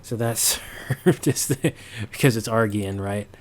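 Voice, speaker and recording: A man's voice: lowish voice, unhurried, very noisy. A man presenting a casual sound design walkthrough, hesitant and thinking aloud as he goes. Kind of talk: casual screen-recorded walkthrough.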